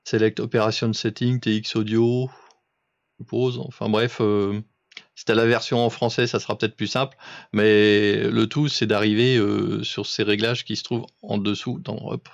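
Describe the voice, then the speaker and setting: A man's voice: lowish voice, little pitch movement, moderate pace, vocal fry, no room sound, highs cut off. A man giving casual, exacting step-by-step instructions as an amateur radio operator coaching someone. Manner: didactic, exacting, informal.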